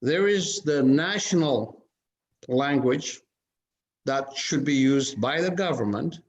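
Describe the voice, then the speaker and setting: Slow, halting man from Ethiopia. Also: medium pitch, lively intonation. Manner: measured, halting, many restarts. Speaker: conference panelist. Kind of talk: casual panel discussion. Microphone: video call. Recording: dull lo-fi call audio.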